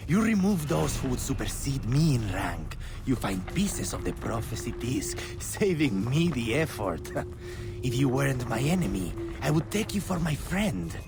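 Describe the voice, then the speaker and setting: An actor playing a cold, scheming colonial villain in a video game, speaking in a formal, smooth, menacing way. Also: male voice, medium pitch, big pitch swings, a little slow, over music. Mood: mocking, smug. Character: cold, scheming male villain overseeing a colonial labor camp. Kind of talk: dramatic video game cutscene acting.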